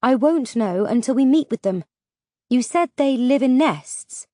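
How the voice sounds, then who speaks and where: A woman's voice: sits high, lively intonation, unhurried, warm tone, dry recording, studio quality, voice pushed up. Woman as a spirited, determined young heroine.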